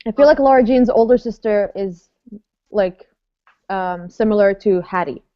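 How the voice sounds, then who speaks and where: A woman's voice: mid-range voice, moderate pace. A woman in her early twenties hosting a casual online book club, chatting and reading viewer comments aloud. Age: 20s. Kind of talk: casual livestream chat. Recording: dry dull lo-fi webcam audio.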